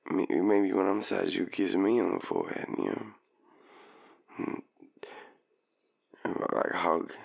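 Very deep, gravelly drunk Australian man acting a shy boyfriend. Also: level tone, dull audio, heavily acted. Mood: tipsy, affectionate. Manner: halting, stammering, apologetic. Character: drunk, shy young man awkwardly confessing a crush. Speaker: audio roleplay performer. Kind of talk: intimate boyfriend roleplay, as a late-night phone call.

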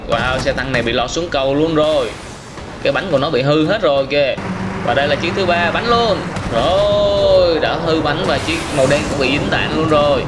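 A man in his thirties giving exclamatory, simple storytelling commentary on game footage, as if for children. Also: on the high side. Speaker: host of gaming reaction videos for children. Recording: noisy, car engine sounds behind.

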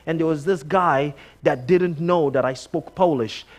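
A man's voice: fairly high pitch, measured pace. A middle-aged man with a Nigerian accent giving a conversational sermon as a guest preacher, telling personal stories with emphatic repetition. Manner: animated storytelling, emphatic repetition. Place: church sanctuary, on stage before a congregation.

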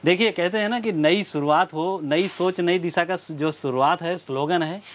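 A middle-aged shop owner showing sarees in his store, with a salesman's pitch, recorded on a lapel mic. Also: moderate pace. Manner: salesman's pitch, addresses viewers as friends. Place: large saree store indoors.

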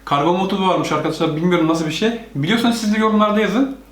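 A man's voice: high voice, quick pace. Man reacting casually, roomy sound. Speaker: host, father reacting with his child. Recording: roomy home recording, music behind.

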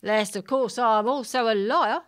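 A woman's voice: a little high, measured pace, fairly bright tone. A woman acting a cheeky, argumentative city woman roused from sleep in a comic audio play, colloquial, pedantic and needling. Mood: teasing.

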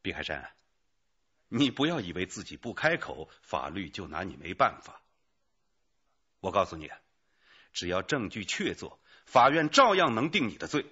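A brisk, assertive police detective in a radio drama. The voice is husky and forceful, with very wide swings in pitch. The sound is a little dull. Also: male voice, heavily acted.

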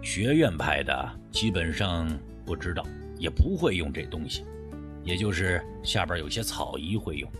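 A man voicing an older medicine teacher in an audiobook, measured and reflective, speaking in an understated, reluctant way. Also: low voice, sing-song, music in the background, voice pushed down. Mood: matter-of-fact.

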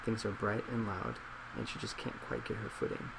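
A man in his twenties speaking in a soft ASMR role play as a psychologist, hesitant, measured and reassuring, recorded in a small office. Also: lowish voice, steady tone, vocal fry. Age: 20s. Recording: loud laptop fan noise.

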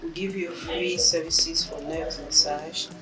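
A woman's voice: low voice, little pitch movement, measured pace, over music. A young woman, a spa esthetician answering interview questions plainly and with confidence, in a small treatment room. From Cameroon. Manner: plain, self-assured, brief answers.

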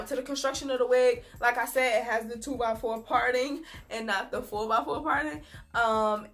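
A young woman's voice, thick and husky from a bad cold, with sniffles between phrases. She chats in a loose, relaxed way, stretching words and filling gaps with "um". Faint music plays softly behind her. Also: mid-range voice. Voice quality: sniffly, husky from a sore throat. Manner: chatty and relaxed, drawn-out words, many "um"s. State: congested with a cold, throaty. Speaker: beauty vlogger. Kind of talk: casual wig review to camera. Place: small indoor room.

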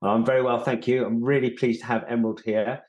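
A middle-aged man co-hosting a casual chat, with halting, repeated starts, on a video call. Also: mid-range voice, flat delivery, a little mellow. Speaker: podcast co-host. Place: indoor room at home. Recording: echoey room over video call.

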